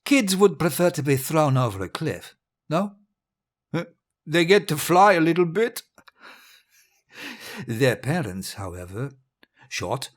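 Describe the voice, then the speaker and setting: An actor playing a murderous, grandiose and bitter dictator facing death, husky and theatrical, savouring his words in an audio drama monologue. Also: mid-range voice. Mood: gleeful menace. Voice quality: husky, breathy rasp. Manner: pompous, theatrical, savouring words, drifting into murmurs.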